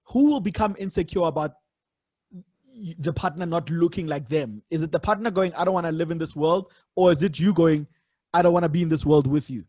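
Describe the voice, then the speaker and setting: A man hosting a conversational interview, probing with hypothetical questions and pausing as he builds them. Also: a little high, a little mellow, dry recording. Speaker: podcast host, interviewer.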